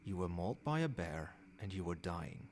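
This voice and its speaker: A man playing a centuries-old vampire sire in an ASMR roleplay, a soothing, possessive caretaker who speaks softly in measured, formal phrases. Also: deep voice, sing-song, slight background noise. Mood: urgent, reassuring.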